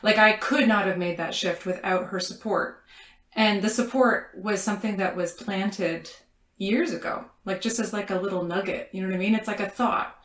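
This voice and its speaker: A woman's voice: medium pitch, fairly even intonation, moderate pace, a bit bright. A woman, co-hosting a casual interview in a reflective, roundabout way, recorded in a roomy space. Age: 40s. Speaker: podcast co-host, interviewer.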